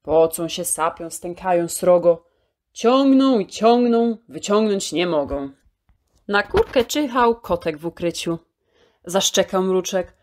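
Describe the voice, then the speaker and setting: A woman storyteller reciting a children's poem in an expressive, theatrical way, drawing out key words. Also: lowish voice, takes it slowly.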